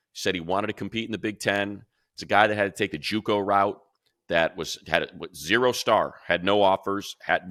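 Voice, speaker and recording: A man with a very low, bright and forceful voice breaks down football picks for a podcast. He rambles excitedly and restarts his sentences often. The recording is dry and close. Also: no echo. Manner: rapid, rambling, excitable, full of fillers and restarts. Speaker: radio play-by-play announcer and co-host. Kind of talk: casual football podcast analysis.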